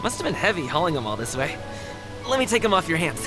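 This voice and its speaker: Young male courier, video game: upbeat, light-hearted, very lively intonation. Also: on the high side, fast talker, music in the background, rough recording. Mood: friendly, upbeat. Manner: breezy, upbeat, teasing.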